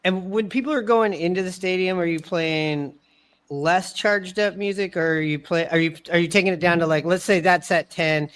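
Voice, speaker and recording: A man's voice: high voice, steady tone, normal speed, fairly bright tone, smooth. A man hosting a podcast, interviewing in a casual conversational way and rephrasing his rambling questions as he goes. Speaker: podcast host.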